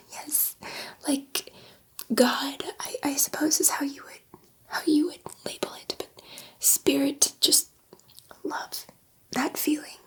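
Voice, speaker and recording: A woman voicing a gentle, excitable alien scientist in an ASMR roleplay, speaking in a soft, breathy whisper with a halting, reassuring manner. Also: high voice. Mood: fond, explanatory. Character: gentle, excitable female alien scientist soothing a human subject.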